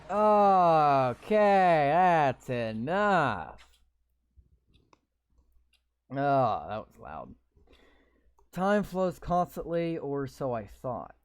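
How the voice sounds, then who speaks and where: Slow-talking sarcastic young gamer man. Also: fairly high pitch, smooth, dry recording. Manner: sarcastic, swears while commenting. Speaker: gamer playing a visual novel. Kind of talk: reading game text aloud with live reactions.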